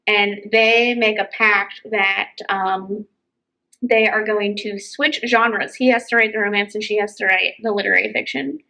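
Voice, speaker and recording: A woman's voice: medium pitch, fairly even intonation, a little slow, vocal fry, sharp tone, rough recording. A woman hosting a book chat, talking informally and enthusiastically as she gives recommendations. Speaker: book show host.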